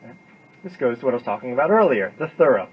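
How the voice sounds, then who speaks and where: A man's voice: medium pitch, sing-song, fast, slightly rough. A man giving an informal, emphatic classroom lecture, repeating himself and often checking in with "right?". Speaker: university lecturer. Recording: dull lo-fi classroom recording with noise.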